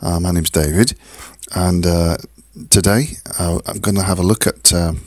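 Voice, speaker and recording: A man with a hoarse, croaky voice hosting a solo podcast, talking haltingly with many fillers and restarts. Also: sits low, fairly even intonation, no room sound, studio quality. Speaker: podcast host. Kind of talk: casual solo podcast talk.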